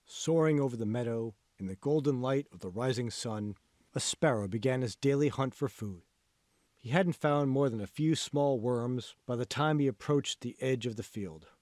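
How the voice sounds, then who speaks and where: A man reads scripted fiction as an audiobook narrator. His pitch is medium with lively movement, and the recording is dry. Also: no echo.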